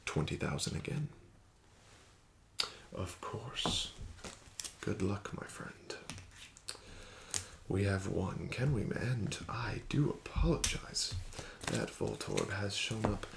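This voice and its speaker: A low, gravelly male voice speaks slowly and formally, dropping into soft whispered asides. His pitch rises and falls with lively, teasing inflection. A steady hiss of background noise sits under the recording. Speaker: ASMR roleplay casino dealer. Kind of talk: ASMR roleplay.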